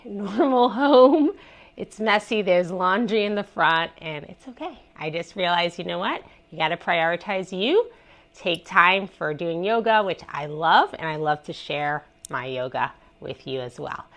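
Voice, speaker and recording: A woman with a head cold guides a gentle yoga flow, her voice stuffy and congested. She gives soft, encouraging cues and lets out small sighs of pleasure as she stretches. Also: fairly low pitch. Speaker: yoga instructor. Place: messy living room at home.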